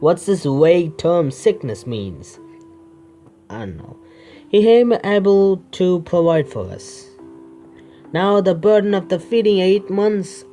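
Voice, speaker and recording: A man doing a game playthrough, reading the narration aloud haltingly and adding his own asides. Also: high-pitched, dark tone. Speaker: gaming video creator. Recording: music behind the voice.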